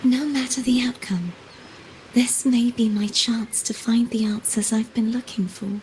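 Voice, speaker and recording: An actress playing a mysterious, solemn young woman in a video game, with a soft, measured, enigmatic delivery. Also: a little high, fairly even intonation, a little hiss or hum, close to an everyday voice, rough recording.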